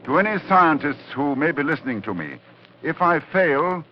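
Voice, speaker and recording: Solemn occult scholar, muffled old radio. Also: male voice, a little high, big pitch swings, normal speed. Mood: urgent, resolute. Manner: solemn, hesitant, portentous. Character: grave, resolute scholarly doctor confronting occult horror. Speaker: actor in a radio horror drama. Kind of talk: 1940s-50s radio acting. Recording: muffled old radio recording, faint noise.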